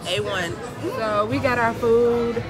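A woman's voice: fairly high pitch, fairly even intonation, takes it slowly, echoey room. A young woman in her twenties vlogging casually on a handheld phone, chatty and laughing between lines. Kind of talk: casual vlog narration. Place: busy restaurant and indoor go-kart arena. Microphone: handheld phone camera. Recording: loud crowd and music behind.

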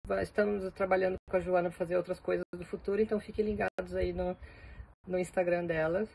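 A man's voice: high-pitched, level tone, fast talker, gravelly, darkish tone, slight background noise, rough recording. Upbeat man chatting in a sunny garden. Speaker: guest collaborator. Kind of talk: casual video greeting. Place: sunny back garden outdoors.

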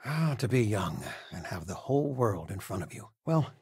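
Doting middle-aged game dad: folksy, lightly husky, lively intonation, close dry sound. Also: male voice, fairly low pitch, normal speed, no room sound, heavily acted. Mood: warm, affectionate. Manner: folksy, affectionate, conversational. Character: warm, doting middle-aged father, gently joking. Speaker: video game voice actor. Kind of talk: natural, conversational game character dialogue.

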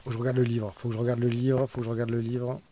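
A man commentating his own live game stream with a warm, mellow voice. He talks urgently and repeats himself while directing his teammates. A faint hiss sits under the voice. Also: medium pitch, flat delivery, rapid-fire. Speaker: streamer playing a ghost-hunting game.

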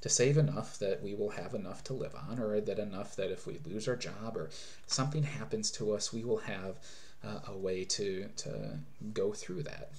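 A man in his thirties delivers a sermon from a small room at home, speaking into a webcam at desk distance. His voice sits somewhat low, and his preaching is earnest and explanatory, with frequent restarts as he works through a point. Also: moderate pace, a little mellow. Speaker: pastor.

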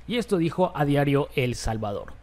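A man hosting a football news roundup, his voice sitting a bit high. He moves briskly from item to item and reads quotes aloud. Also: big pitch swings, darkish tone. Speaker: sports news presenter.

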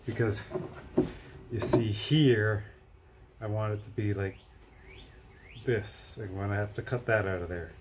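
A middle-aged man giving a casual hands-on DIY demonstration, halting and thinking aloud as he works. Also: lowish voice, background noise. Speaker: DIY video maker. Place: cluttered workshop with dirt floor.

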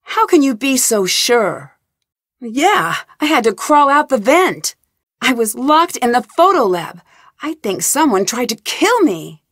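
A woman's voice: a little high, big pitch swings, a little slow, a bit bright, dry recording, clean studio sound, full character voice. A woman voicing a young woman detective in game voice lines, asking earnest, inquisitive questions in a polite tone. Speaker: voice actor. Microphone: studio mic, dry.

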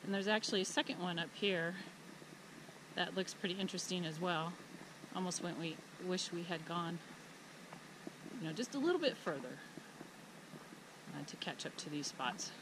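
A woman's voice: fairly low pitch, animated, normal speed, pauses often, noisy background. A woman travel vlogger giving casual, chatty commentary from inside a truck on a dirt road, thinking aloud as she goes. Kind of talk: casual commentary while driving.

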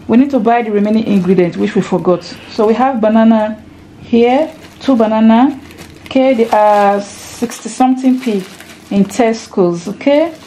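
A fairly high-voiced woman chats casually to her viewers while she walks around a table of groceries in her kitchen. She counts items aloud and keeps calling her audience "guys". A faint hum of room noise sits behind her. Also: mellow, low-quality recording. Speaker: lifestyle vlogger and mum. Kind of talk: casual grocery haul narration. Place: home kitchen, indoors.

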